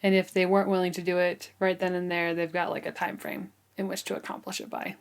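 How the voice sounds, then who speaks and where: A woman's voice: fairly low pitch, little pitch movement, fast. A woman co-hosting a book podcast in casual chat, often questioning and checking in with her co-host.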